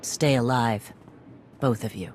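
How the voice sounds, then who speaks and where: An actress playing a battle-weary mercenary commander in a video game, blunt, clipped and dry. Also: female voice, rumbling low, slow, a little mellow, a little hiss or hum. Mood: commanding. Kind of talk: cinematic video game acting.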